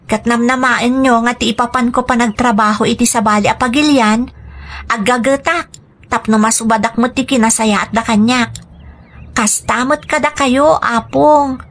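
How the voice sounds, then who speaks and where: A woman's voice: on the high side, steady tone, moderate pace, fairly bright tone. A woman playing a grateful, earnest young granddaughter in a radio drama, with an Ilocano accent. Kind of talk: Filipino radio drama acting.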